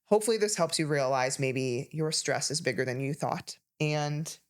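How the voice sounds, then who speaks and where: A woman in her thirties co-hosting a health podcast, talking casually and listing symptoms rapidly with emphasis. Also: sits low. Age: 30s. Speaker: podcast co-host, health coach.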